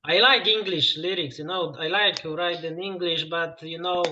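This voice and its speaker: A man's voice: a little high, fairly even intonation, pushed and bright, dull audio, a little hiss or hum. A man from Poland with a Polish accent, a guest musician talking casually in English and filling his speech with "you know" as he searches for words. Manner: halting, lots of "you know" fillers. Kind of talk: casual interview over a call.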